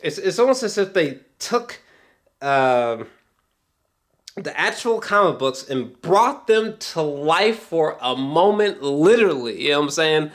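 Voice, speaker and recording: A man talking off the cuff in a casual film review vlog, excitable and emphatic, rambling, repeating himself and swearing often. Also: fairly high pitch. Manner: rambling, halting, frequent swearing. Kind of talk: unscripted, casual movie reaction talk.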